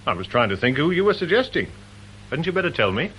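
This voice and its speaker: British police inspector, radio play, dry n officious, lively pitch, bit of background noise. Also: male voice, low-quality recording. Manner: measured, officious, understated sarcasm. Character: dry, stiffly formal male police inspector. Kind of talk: radio drama acting.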